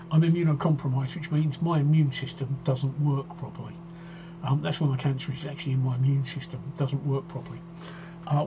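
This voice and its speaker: A man's voice: mid-range voice, background noise. Chatty man in his sixties, muffled stream audio. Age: 60s. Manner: chatty, rambling, self-repeating. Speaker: livestream host. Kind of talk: casual live-stream chat reading viewer comments. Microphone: desk microphone.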